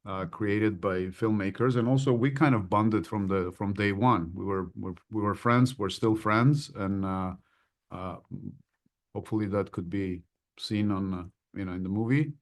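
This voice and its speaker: A man, an actor and interview guest, answering in a measured, thoughtful and slightly formal way. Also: on the low side. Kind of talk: casual interview talk.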